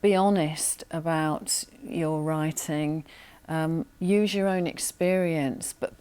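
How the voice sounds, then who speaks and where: A woman giving deliberate professional advice in an interview. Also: on the low side, darkish tone, clean tone. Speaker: radio drama producer giving writing advice.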